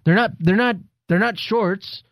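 A man's voice: sits high, a little slow, no echo, dull audio. A man co-hosting a casual wrestling chat, joking and rambling, swearing freely. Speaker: podcast co-host.

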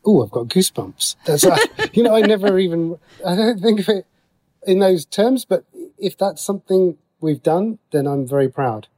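A man's voice: high voice, lively intonation, normal speed, darkish tone. A man answering interview questions as a writer, talking casually and breaking into his own sentences as he explains. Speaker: guest, TV and radio writer.

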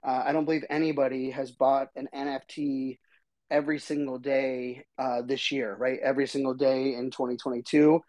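Slightly hoarse man hosting a podcast. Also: mid-range voice, little pitch movement, normal speed, a little mellow, dry recording, rough recording. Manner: rambling, chatty, many fillers. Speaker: podcast host. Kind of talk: casual solo podcast talk.

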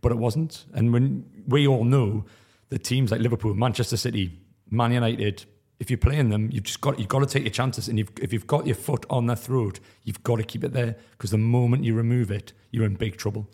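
A man with a husky voice, a podcast panelist going through the match in a measured, analytical way. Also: mid-range voice, steady tone, a little mellow. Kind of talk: football podcast discussion.

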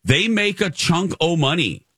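A man co-hosting a morning radio show, chatting casually and playfully with animated delivery. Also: on the high side, a little slow, breathy edge, no echo, studio quality.

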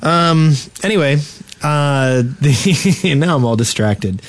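A man's voice: fairly high pitch, expressive, slow, dry recording. A man co-hosting a gaming podcast with casual, self-deprecating banter. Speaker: podcast co-host.

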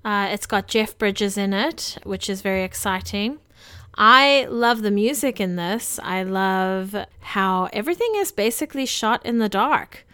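A woman's voice: medium pitch, unhurried, sharp tone, smooth, no echo. A woman co-hosting a film podcast, talking casually and informally with conversational asides. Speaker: podcast co-host.

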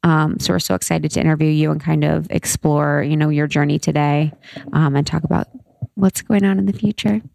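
Gushing woman with a gravelly voice. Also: deep voice, fairly even intonation, fairly quick, a little mellow, no echo. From Ohio. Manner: effusive, gushing. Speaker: podcast co-host. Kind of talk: casual interview chat.

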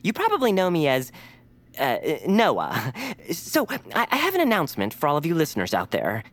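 A woman playing an earnest, excitable young hero in a family audio drama, often hesitant and stammering. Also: sits low, moderate pace. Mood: nervous, earnest. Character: Noah, earnest, eager young peepkin hero planning cheer.

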